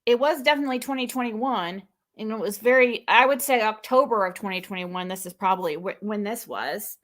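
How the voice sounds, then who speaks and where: A woman's voice: mid-range voice, moderate pace, fairly bright tone. A young woman hosting a livestream, chatting in a rambling, self-correcting way as she reacts to an old clip. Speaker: livestream host. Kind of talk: casual livestream commentary.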